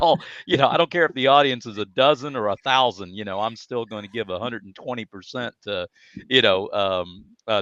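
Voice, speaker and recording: A man's voice: fairly low pitch, a bit bright, no echo. Enthusiastic American man, dry slightly dull sound. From United States. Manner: enthusiastic, effusive, polite. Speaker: podcast guest, data speaker. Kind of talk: casual conversational storytelling.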